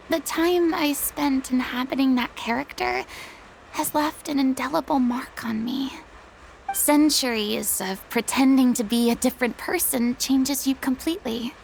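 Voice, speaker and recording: A woman's voice: high voice, gravelly, a little hiss or hum, rough recording. A woman voicing a proud, theatrical young woman in a video game, a retired stage star who speaks in a dramatic, grandiose way with self-pitying sighs.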